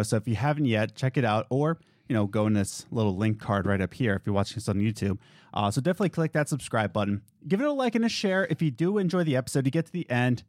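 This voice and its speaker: Male podcast co-host, casual, lively pitch jumps, dry close-mic sound. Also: dry recording. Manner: swings between low easygoing talk and high excited bursts. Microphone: studio podcast mic.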